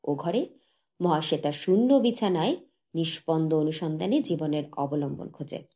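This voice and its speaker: A woman narrating a literary short story as an audiobook, reading in a measured, solemn manner. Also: deep voice, dark tone.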